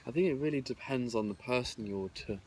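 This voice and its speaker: A man's voice: mid-range voice, brisk, darkish tone, slight background noise. Frank man who stammers. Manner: frank and wry, occasional stutter repeats. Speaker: podcast co-host. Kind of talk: casual discussion.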